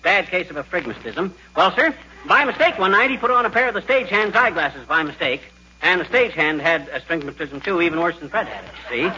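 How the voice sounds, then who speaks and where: A man's voice: on the high side, animated, fairly quick, pushed and bright. A man acting a blustering, folksy, bumbling husband with an American accent who spins tall tales ungrammatically, in 1940s radio comedy style. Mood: explanatory, exasperated. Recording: dull, noisy 1940s radio recording.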